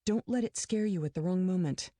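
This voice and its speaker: Woman reading a calm protective mother, gentle and mellow; dry mic. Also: lowish voice, no echo, close to an everyday voice. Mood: reassuring. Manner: soft, reassuring, quick.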